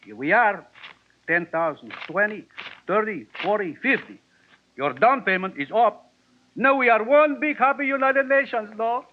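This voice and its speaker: An actor playing an ingratiating little Peruvian gentleman with a Latin American Spanish accent and broken, effusively polite English in 1940s radio style. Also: sits high, lively intonation, unhurried, background noise. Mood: pleased. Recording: tinny, band-limited old radio recording.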